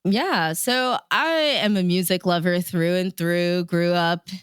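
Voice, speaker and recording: A woman's voice: on the low side, measured pace, clear, resonant tone, dry recording, studio quality. A woman radio DJ answering questions as a guest in a casual, chatty, informal interview.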